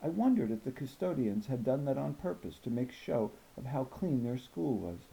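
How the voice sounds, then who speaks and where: Children's audiobook: nervous, bookish fifth-grade girl read by a man, precise and thoughtful, soft mellow tone, lively intonation. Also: mid-range voice, normal speed, creaky, poor audio. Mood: thoughtful, uneasy. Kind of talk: first-person children's novel narration.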